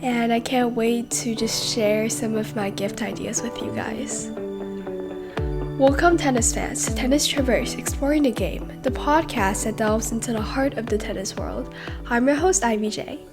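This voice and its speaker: A young woman hosting a podcast in a rambling, casual way, full of fillers and pauses, while sick with a cold. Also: a little high, over loud music. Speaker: podcast host. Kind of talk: casual solo podcast talk.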